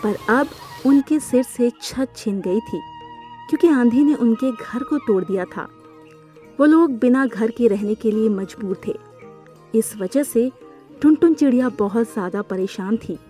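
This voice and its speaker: A woman's voice: a little high, measured pace, dark tone, faint music behind. A woman narrator reading a scripted children's story for a cartoon.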